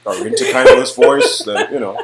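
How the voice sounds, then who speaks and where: A man voicing a cheesy, defensive android pitchman for a game read-along, halting and insistent in a mock-smooth way. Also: wildly swooping pitch, a loud crowd.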